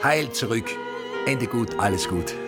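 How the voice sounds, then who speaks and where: An actor playing a brisk, boastful older father-in-law in a radio drama, blunt and self-important, with a husky voice. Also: mid-range voice, lively intonation, over music. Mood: hearty, welcoming.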